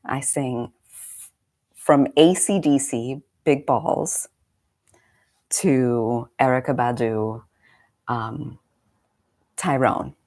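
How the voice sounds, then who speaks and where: A woman's voice: rumbling low, drawn-out words, long pauses, darkish tone. A woman in her forties, a meditation coach, chatting casually on a live stream, slightly congested and rambling through friendly asides. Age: 40s.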